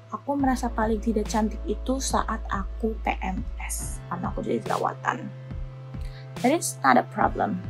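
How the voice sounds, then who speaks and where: A woman's voice: a little high, a bit bright, rough recording. A 21-year-old woman giving a personal testimonial, dropping in English phrases. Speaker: dental student giving a self-introduction.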